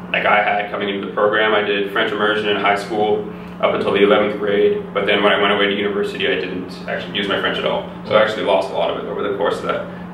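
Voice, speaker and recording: A young man from Canada talks quickly and steadily in a flat, low voice. He sounds like an easygoing student being interviewed. The recording is very echoey, taken from a distance with clear background noise. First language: English. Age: young adult. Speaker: physiotherapy student guest. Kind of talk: casual interview answers.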